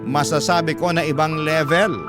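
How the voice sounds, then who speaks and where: A man's voice: on the high side, steady tone, moderate pace. A man with a Filipino accent reading dramatic scripted first-person narration as a radio-style storyteller. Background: loud music.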